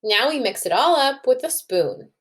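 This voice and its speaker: A woman voicing a cheerful, kindly cooking teacher from a children's storybook, instructing slowly and clearly. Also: high voice, big pitch swings, fairly bright tone, pitched above the performer's own voice. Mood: bright, instructing.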